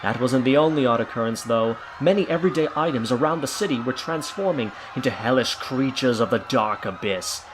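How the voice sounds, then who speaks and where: A man's voice: medium pitch, expressive, fairly quick, hardly any pauses. A man narrating a scripted audio drama in a theatrical storytelling manner, with noise behind him. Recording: clear background noise and ambience.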